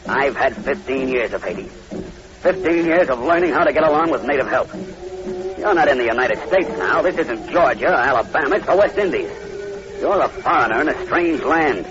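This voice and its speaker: A man's voice: medium pitch, expressive, moderate pace, close to an everyday voice. A man playing a grim, wary plantation manager in an old radio drama, speaking in an ominous, emphatic, cautioning way. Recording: noisy lo-fi old radio recording.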